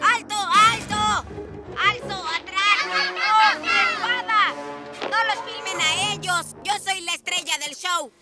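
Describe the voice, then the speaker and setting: A bratty, self-important cartoon ninja villain who yells and whines in a high, slow-drawn voice. Loud music and crowd noise sit behind him. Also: male voice. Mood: boastful. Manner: whiny, shouting, self-important. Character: boastful young male ninja villain.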